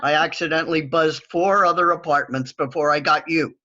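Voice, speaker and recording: Man acting a forgetful old husband, sharp voice. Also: fairly high pitch, fairly even intonation, brisk, highs cut off. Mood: sheepish, explaining. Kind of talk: stage play reading over video call.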